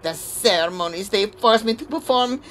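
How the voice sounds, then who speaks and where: A woman's voice: mid-range voice, animated, slight background noise, lightly acted. A woman playing a weary old female spirit medium, solemn and foreboding, in a theatrical audio drama. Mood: haunted, grave. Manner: solemn, dramatic, deliberate pronouncements.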